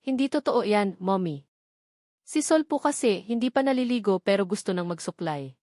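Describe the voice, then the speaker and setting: A woman's voice: mid-range voice, lively intonation, moderate pace, dark tone, no room sound, studio quality, natural voice. A woman with a Filipino accent voicing eager, whiny, insistent little girls in a storybook tale. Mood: whiny, tattling. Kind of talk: storybook narration character voice.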